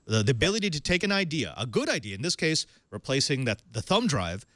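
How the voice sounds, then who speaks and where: A man hosting a tech podcast, reading a sponsor spot and then welcoming and questioning a guest in a lively way. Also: medium pitch, quick pace, dry recording. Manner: animated, leading questions with fillers. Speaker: podcast host. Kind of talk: tech show hosting and ad read.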